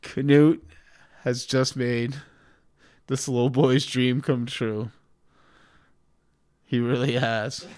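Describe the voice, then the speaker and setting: A man's voice: mid-range voice, level tone, takes it slowly, pauses often, a little mellow, clean tone. A man in his forties hosting a comedy podcast, chatting casually in a profane, rambling way. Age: 40s. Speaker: podcast host.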